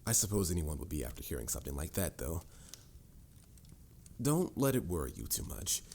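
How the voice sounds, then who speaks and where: A man's voice: low-pitched, big pitch swings, fairly quick, darkish tone, a little hiss or hum. A man playing a confident, patient mage mentor in a fantasy audio roleplay, instructive and slightly formal in a theatrical way. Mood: storytelling, confident. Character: confident adult male mage mentor, patient, boastful, encouraging. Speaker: voice actor. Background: music.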